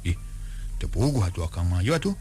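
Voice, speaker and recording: A man's voice: low voice, big pitch swings, fast talker, mellow, soft background music, voice pushed down. A man voicing an earnest, determined young wandering hero in a told folk tale, speaking plainly. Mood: puzzled.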